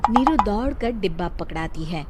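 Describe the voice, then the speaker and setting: A woman's voice: mid-range voice, lively intonation, normal speed, loud music behind the voice. A woman storyteller giving scripted narration of a story.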